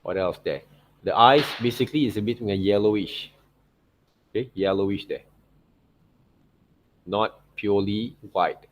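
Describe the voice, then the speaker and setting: Guy teaching a drawing demo off the cuff, lowish voice, halting, keeps repeating himself and checking in. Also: slight background noise. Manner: halting, repetitive phrasing with frequent "okay, right, see" checks. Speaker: art teacher giving a tutorial. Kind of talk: unscripted step-by-step drawing demonstration.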